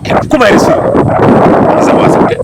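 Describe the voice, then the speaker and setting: A man from Mali giving an interview outdoors, talking expressively with repeated words and restarts. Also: a little fast. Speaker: interviewee, local community figure. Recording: loud wind and outdoor noise.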